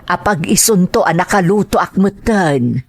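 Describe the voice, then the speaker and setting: A woman with an Ilocano accent playing an affectionate, gentle older mother in a radio drama. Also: lowish voice, lively intonation, moderate pace. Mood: tender, glad.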